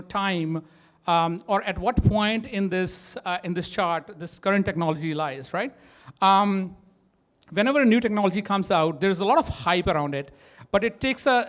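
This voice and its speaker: A man with a thick Indian accent speaks to a conference audience from the stage. His voice sits fairly high for a man. He hesitates often, with plenty of ums, restarts and "right?" checks as he explains. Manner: hesitant, many fillers, frequent "right?" tags. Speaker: startup co-founder giving a conference talk. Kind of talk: live conference presentation.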